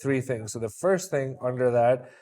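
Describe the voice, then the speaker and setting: A man's voice: medium pitch, dark tone. Man, mix engineer, casual session walkthrough, loose and stop-start delivery. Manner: halting, many restarts and fillers, laid-back.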